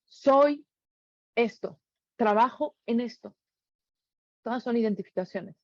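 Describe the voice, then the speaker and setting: A woman's voice: on the high side, slow pace, dry recording, dull audio. A middle-aged woman with a Mexican accent giving an earnest reflective monologue, full of rhetorical questions. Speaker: speaker sharing reflections.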